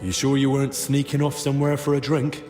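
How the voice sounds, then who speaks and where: An actor playing a blunt, probing young male investigator in a video game cutscene, speaking in a measured, matter-of-fact way. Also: medium pitch, level tone, darkish tone, faint music behind, lightly acted. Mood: probing, wry.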